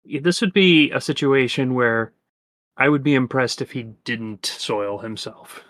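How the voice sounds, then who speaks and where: A man co-hosting a casual movie commentary podcast, making dry deadpan quips. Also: medium pitch, a little slow, dry recording. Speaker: podcast co-host.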